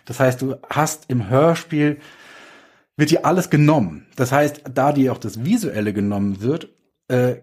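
A man's voice: mid-range voice, expressive, moderate pace, darkish tone, slightly rough. A man chatting casually as a podcast guest, explaining his work as a writer with examples. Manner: explains with examples and acted-out quotes. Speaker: guest, audio drama writer.

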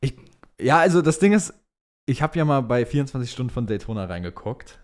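A man's voice: mid-range voice, very animated, fairly quick. A man co-hosting a casual motorsport chat, talking informally with English slang mixed in. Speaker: podcast co-host reading listener questions.

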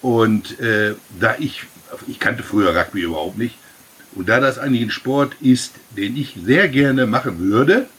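A man's voice: medium pitch, lively intonation, a little slow, fairly bright tone, slightly rough. A middle-aged man, a rugby club president, telling his story with many fillers in long winding sentences. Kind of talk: casual interview answers.

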